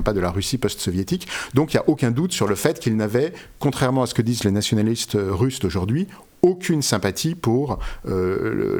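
Man explaining in halting, scholarly speech. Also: fairly low pitch, darkish tone. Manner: erudite, halting explanations with frequent self-corrections. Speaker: interviewed author and literary expert. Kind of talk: unscripted scholarly interview.

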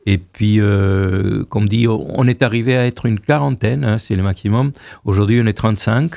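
This is a man in his sixties from Argentina whose first language is Hebrew. He speaks in a gravelly, mellow, fairly low voice and tells his story unhurriedly, restarting phrases as he goes. He is recorded dry and close. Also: moderate pace, dry recording. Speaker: choir director, guest. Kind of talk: radio interview.

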